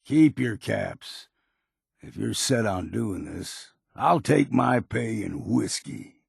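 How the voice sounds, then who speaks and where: A cynical old hunter from a video game. His low voice is thick with gravel and husk, and he talks slowly in curt, folksy, grudging phrases. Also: lively intonation, darkish tone, no room sound, studio quality, full character voice. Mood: gruff, dismissive. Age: older. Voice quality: gravelly, husky. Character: gruff, cynical old wilderness guide and hunter. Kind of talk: video game character dialogue.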